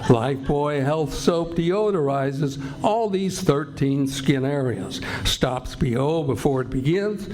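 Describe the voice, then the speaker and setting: A man's voice: a little high, slow pace, few pauses, a little mellow. A man working as an old-time radio announcer, reading scripted introductions and ads in a declamatory salesman's style.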